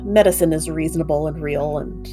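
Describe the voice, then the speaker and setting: A woman's voice: low-pitched, brisk, music in the background. A woman playing a tabletop roleplaying game, talking casually as she works through her turn.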